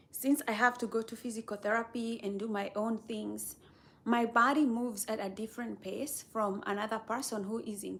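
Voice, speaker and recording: A woman from Kenya chatting casually on a live stream, rambling in an unhurried way and talking straight to her viewers. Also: a little high. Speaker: livestreamer.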